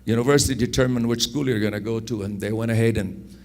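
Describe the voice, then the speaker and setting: A man in his sixties from Afghanistan with an Afghan accent, telling personal stories in an unhurried, anecdotal way, repeating phrases for emphasis. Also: mid-range voice, fairly even intonation, a little mellow. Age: 60s. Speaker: speaker telling his life story. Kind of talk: personal storytelling.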